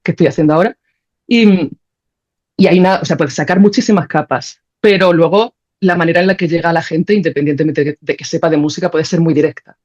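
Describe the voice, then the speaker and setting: A woman's voice: low voice, fast talker, a little mellow. Reflective woman guest, slightly dull recording. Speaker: podcast guest, audio creator and musician. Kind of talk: conversational interview answers.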